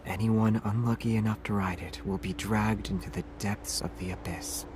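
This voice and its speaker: A man playing a calm young monster hunter in a video game, teasing in an understated, deadpan way. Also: fairly low pitch, normal speed, background noise, lightly acted.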